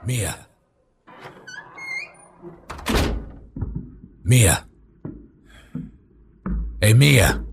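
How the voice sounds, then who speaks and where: A man's voice: rumbling low, unhurried, background noise. A man playing a breezy, commanding, well-off husband in a horror noir audio drama, speaking in a folksy, casual way. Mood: calling out. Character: breezy, commanding wealthy husband, antiques shop owner.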